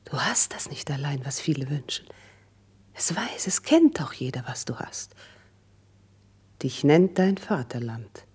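An actress playing a cultivated, ambitious court lady and confidante in a classical verse radio play, with husky, breathy, measured and intimate declamation. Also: extremely low pitch, darkish tone, heavily acted. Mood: covetous admiration.

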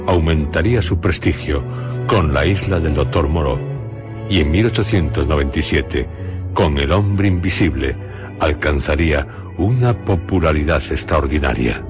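Very deep-voiced Spanish man narrating solemnly over music. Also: lively intonation, normal speed. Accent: Castilian Spanish. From Spain. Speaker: host and narrator of a radio drama series. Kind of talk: scripted literary radio narration.

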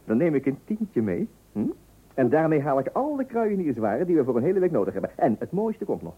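A man's voice: on the high side, very animated, quick pace, slightly rough. An actor playing a penny-pinching, self-important father in a comic radio play, blustering and stammering when flustered. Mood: smugly confident. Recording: old tinny radio recording.

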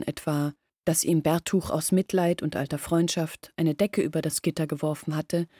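An actress voicing a young village woman who quietly tells of her family's ruin in a radio play. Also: deep voice, husky. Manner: quiet, sorrowful storytelling. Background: faint music.

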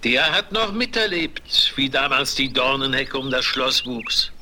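An actor playing a grave old man in a 1970s German fairy-tale radio play, warning solemnly and deliberately. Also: medium pitch, lively intonation, natural voice. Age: older. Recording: noisy vinyl record with clicks.

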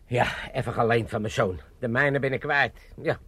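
A man's voice: medium pitch, steady tone, moderate pace, pushed and bright, breathy edge. An actor playing a wily, self-pitying old father in a radio comedy, boastful and wheedling, with an Amsterdam accent. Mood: chatty, sly. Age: older. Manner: theatrical grumbling and coaxing. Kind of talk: 1980s comedy radio drama acting.

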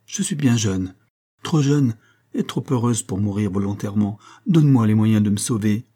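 A man's voice: lowish voice, a little fast, dark tone, breathy edge. A man voicing a proud, passionate young married woman pleading with her father in an audiobook story. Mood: desperate pleading. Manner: imperious and emphatic, swinging to pleading.

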